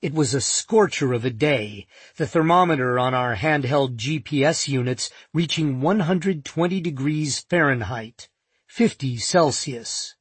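Man narrating a nonfiction audiobook, husky. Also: mid-range voice, no room sound. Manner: measured, vivid storytelling.

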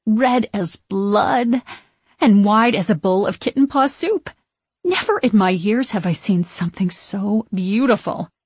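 A woman's voice: medium pitch, expressive, unhurried, a little mellow, breathy edge, no room sound. A woman playing a haughty, imperious villainous witch in an audiobook, with a piercing voice and grand, scornful archaic diction. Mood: awed, grand. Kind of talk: audiobook character acting.

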